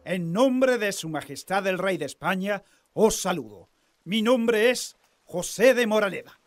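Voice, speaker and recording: A courteous colonial naval officer in a radio play. His voice is on the high side, formal and respectful. Faint music sits behind it. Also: lively intonation, measured pace, no room sound. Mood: proclaiming. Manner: formal, polite. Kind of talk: radio drama acting.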